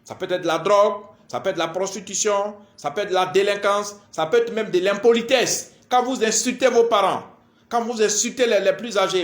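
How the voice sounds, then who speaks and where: Guy in his 40s, kinda high voice, teaching spiritual stuff bit by bit and repeating himself, echoey room. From Ivory Coast. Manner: deliberate, didactic, repetitive, halting phrases with frequent verbal tags. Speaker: spiritual lecturer, astrologer. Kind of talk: spoken lecture to camera.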